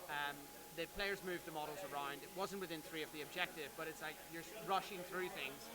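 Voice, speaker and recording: A man gives a measured explanation of a tournament pack while a crowd chatters loudly behind him. His voice sits a little high. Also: low-quality recording. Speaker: event organizer. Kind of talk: explaining in casual talk.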